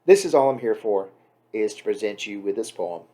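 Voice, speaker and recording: A man's voice: on the low side, animated, moderate pace, warm tone. A man giving a casual, off-the-cuff introduction as a host, chatty and emphatic with wry asides. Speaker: host introducing a poem reading.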